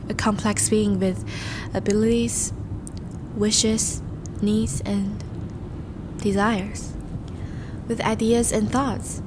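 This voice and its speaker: Woman acting a slow, courtly, charming vampire. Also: mid-range voice, noisy background, natural voice. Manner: formal, courtly, gentle phrasing with soft sighs. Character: courtly, charming vampire, tender and devoted suitor. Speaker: audio roleplay voice actor. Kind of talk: scripted romantic audio roleplay, addressed to the listener.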